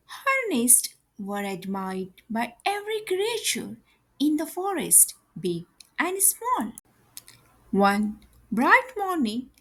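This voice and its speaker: A woman narrating a scripted children's story in an expressive, deliberate storybook style. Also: high voice, poor audio.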